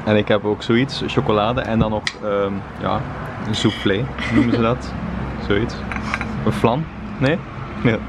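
A man's voice: lowish voice, steady tone, unhurried, heavy background noise. A man in his thirties with a Flemish accent, chatting casually as a travel vlogger. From Belgium. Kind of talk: casual vlog chat. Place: car, restaurant terrace and outdoors on holiday. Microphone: handheld camera at arm's length.

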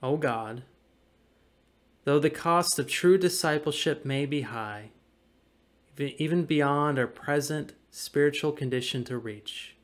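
An American man in his thirties, a pastor reading a devotional aloud in a measured, earnest, formal way. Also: mid-range voice. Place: small indoor room at home or office. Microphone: webcam or laptop mic at desk distance.

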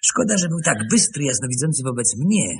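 An actor playing a bitter, determined man in a radio drama, brooding and emphatic, with a husky, gravelly voice. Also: a little high, big pitch swings, a little hiss or hum. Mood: bitter, ironic. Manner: brooding, emphatic, halting outbursts. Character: bitter younger man, wronged former apprentice, agitated and determined. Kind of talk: Polish radio drama acting.

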